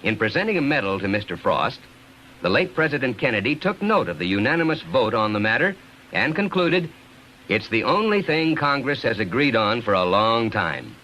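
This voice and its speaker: A man's voice: mid-range voice, sing-song, normal speed, sharp tone, poor audio. A man working as a radio announcer, delivering scripted narration of light anecdotes. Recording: hissy old radio recording.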